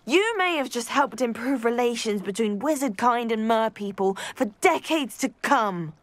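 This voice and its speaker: A woman's voice: on the high side, animated, normal speed, no room sound, lightly acted. An actress playing an earnest, anxious and effusively polite young woman in a video game. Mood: delighted. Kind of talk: scripted game acting.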